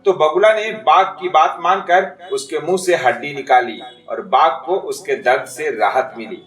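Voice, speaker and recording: A man's voice: a little high, moderate pace, a bit bright. A man narrating a scripted moral story for children as a storyteller. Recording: distant, echoey, music behind.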